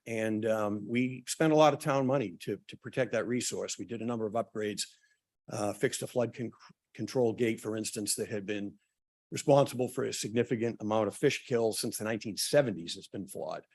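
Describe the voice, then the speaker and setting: A man speaking at a public forum who is losing his voice, often clearing his throat and stopping for ums and uhs. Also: fairly low pitch, darkish tone. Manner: halting, many ums and uhs, restarts. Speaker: town official presenting at a public forum. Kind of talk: prepared remarks at a public meeting.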